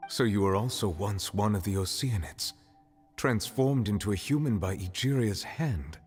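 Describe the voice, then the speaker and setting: An actor voicing a grave, composed court dignitary in a video game, with formal and restrained earnestness. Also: male voice, lowish voice, expressive, moderate pace, darkish tone, slightly rough. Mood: grave, curious. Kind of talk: video game dramatic dialogue. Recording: music behind.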